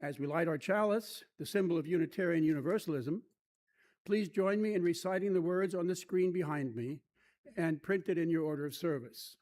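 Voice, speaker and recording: A man leading a church service, giving a reflective reading in measured, deliberate phrases with frequent pauses. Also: on the high side, darkish tone. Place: church service, speaking to a congregation.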